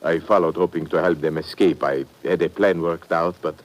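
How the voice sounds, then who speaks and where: A grim, earnest Czech man played by a male actor with a fairly low voice. He speaks formally and carefully, in the style of a vintage radio thriller. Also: fairly even intonation, moderate pace, natural voice. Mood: grave. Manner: formal, careful, uncontracted phrasing. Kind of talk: old-time radio thriller acting.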